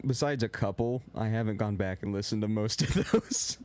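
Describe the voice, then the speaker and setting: Young male co-host, lower voice, loud and rambling, casual podcast chat. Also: moderate pace. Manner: loose and rambling, heavy on filler words; talks a little loud.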